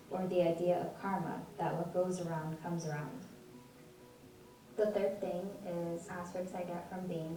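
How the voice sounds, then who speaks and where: A young American woman, a college student, reading a scripted personal narration in an even, read-aloud way. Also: lowish voice, distant mic. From United States. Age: young adult. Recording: echoey audio heard from afar over music.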